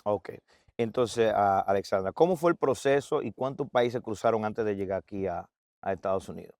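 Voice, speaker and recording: A man's voice: fairly low pitch, moderate pace, a little mellow, no room sound, clean studio sound. A man hosting a casual interview, upbeat, dropping English words into his Spanish. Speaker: host. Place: USA.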